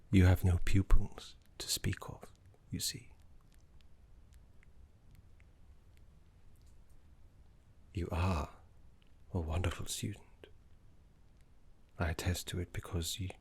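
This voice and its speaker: Man acting elderly necromancy professor, very breathy half-whisper, low, gentle, wistful, genteel, ASMR roleplay. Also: little pitch movement, full character voice. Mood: tender, admiring. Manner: halting, genteel, formal wording.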